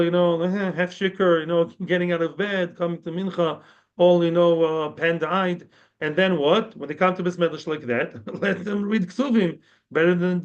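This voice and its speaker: A man giving a conversational Talmud lesson, explaining terms and mixing in Hebrew and Yiddish words. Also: sits high. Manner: conversational, frequent "yeah" and restarts. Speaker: rabbi teaching Talmud. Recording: dull lo-fi audio.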